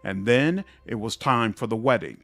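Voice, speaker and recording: A man narrating fantasy game epilogues in a measured, expressive storybook style. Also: mid-range voice, dry recording, natural voice. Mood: solemn storytelling. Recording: music under the voice.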